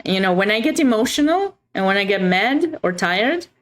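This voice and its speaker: A woman's voice: medium pitch, very animated, normal speed. A woman with a Romanian accent hosting a chess livestream, chatting casually and expressively with viewers between bits of commentary.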